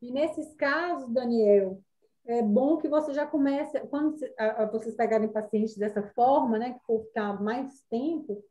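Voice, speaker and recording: A Brazilian woman teaches an online class in a high, mellow voice. She explains chattily with many fillers, heard over a video call mic. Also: measured pace.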